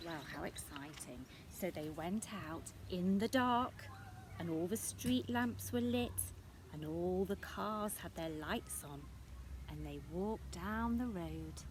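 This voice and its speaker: Animated woman reading to young children. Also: on the low side, takes it slowly, a little hiss or hum. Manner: questioning, chatty asides to young listeners. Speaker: storyteller reading a picture book. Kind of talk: expressive read-aloud for young children with asides.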